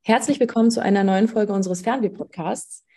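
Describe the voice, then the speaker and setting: A woman's voice: mid-range voice, fairly quick, mellow. A young woman hosting a university podcast, interviewing a fellow student in a friendly, conversational way with some fillers. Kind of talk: casual interview chat.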